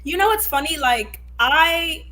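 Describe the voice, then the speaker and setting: A woman's voice: sits high, animated, measured pace, bright, forceful tone. Haitian-born woman, emphatic and thoughtful. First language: Haitian Creole. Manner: thoughtful, emphatic, self-correcting. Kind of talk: casual discussion.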